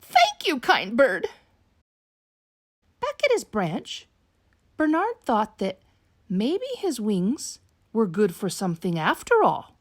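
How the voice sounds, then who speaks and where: A woman narrates a bedtime picture book for young children. Her voice is high and lively, swooping through the sentences at an unhurried pace, with a dry, close sound. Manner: slow, animated read-aloud delivery for young children. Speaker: teacher reading a picture book aloud to children. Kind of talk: expressive bedtime storybook narration.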